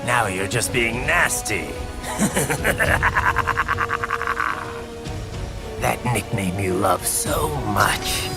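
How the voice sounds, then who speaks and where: A man's voice: big pitch swings, drawn-out words, pauses often, full character voice. An actor playing a grim male cyborg warrior who broods darkly and admits his love of killing, in a video game cutscene. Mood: taunting. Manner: low, gravelly, brooding, turning to savage shouts. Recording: game cutscene over loud music.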